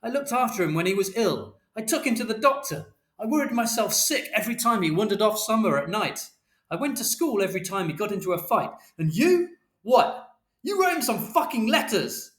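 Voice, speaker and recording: Storybook angry dad performed by a male narrator: explosive, indignant, raised high in pitch, roomy echo. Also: big pitch swings, a little fast, a bit bright. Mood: bitter, shouting. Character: furious, hurt, foul-mouthed father shouting in argument.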